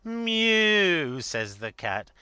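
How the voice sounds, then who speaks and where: A man's voice: high-pitched, sing-song, very slow, bright, forceful tone, smooth, dry recording, clean studio sound, voice pushed up, full character voice. A man voicing a blustering, scornful older rich man in a dramatic story reading, emphatic and mocking. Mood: ranting, mocking.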